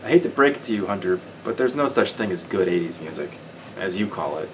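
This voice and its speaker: A man's voice: deep voice, animated, quick pace, a little hiss or hum, rough recording. A man voicing a cocky male special forces operator raised in Wales, speaking bluntly and matter-of-factly. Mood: dry. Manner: blunt, matter-of-fact telling.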